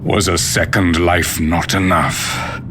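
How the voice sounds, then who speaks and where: A man's voice: low voice, flat delivery, noisy background. An actor playing a stern, weary old emperor and disappointed father in a video game, grave and imperious. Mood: scornful, grave. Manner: grave, imperious, measured speech. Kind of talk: theatrical video game cutscene acting. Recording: music behind the voice. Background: cutscene music.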